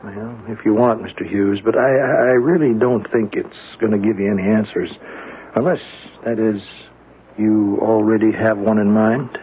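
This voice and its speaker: An actor playing a skeptical, matter-of-fact doctor who explains in a measured, slightly hesitant way in a 1970s radio drama. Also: male voice, fairly low pitch, fairly even intonation, lightly acted. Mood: skeptical, firm. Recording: tinny, faintly noisy 1970s radio broadcast.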